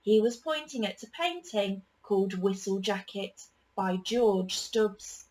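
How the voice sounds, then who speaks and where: A woman reading a children's picture book aloud as a storyteller, with deliberate, dramatic emphasis. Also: medium pitch, lively intonation, darkish tone, rough recording.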